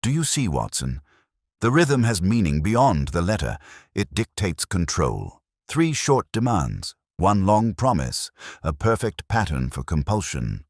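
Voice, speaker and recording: A man voicing a brilliant, intense gentleman detective in an audiobook, speaking in a deliberate, theatrical and incisive way. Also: deep voice, very animated, dry recording, clean studio sound, voice pushed up, exaggerated voice. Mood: intense, analytical.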